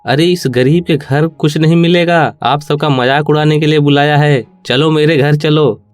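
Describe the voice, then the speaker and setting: A man's voice: a little high, steady tone, moderate pace, dry recording, close to an everyday voice. Man playing a smug well-off villager. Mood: mocking. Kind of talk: children's moral story cartoon acting.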